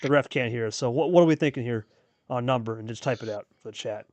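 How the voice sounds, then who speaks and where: Fast-talking man doing play-by-play. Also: mid-range voice, a little mellow, no room sound. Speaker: host and play-by-play commentator. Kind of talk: casual play-by-play card game commentary.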